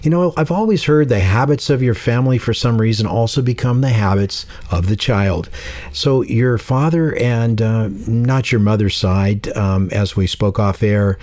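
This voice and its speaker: A man hosting an interview podcast, asking questions in an easygoing, unhurried way. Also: fairly low pitch, darkish tone. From San Francisco Bay Area. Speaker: podcast host. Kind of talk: casual interview.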